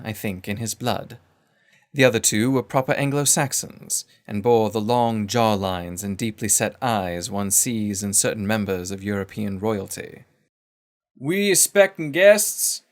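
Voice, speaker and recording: A man narrating a first-person adventure story in a measured, formal, literary manner. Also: on the low side.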